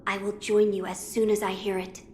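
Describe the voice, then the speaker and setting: A gentle, formal young boy lord of a samurai game , voiced by a woman, speaks with calm, measured dignity. The voice rings in a roomy space over noticeable ambient noise. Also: medium pitch, lightly acted. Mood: calm, resolved. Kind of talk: English-dubbed samurai game drama.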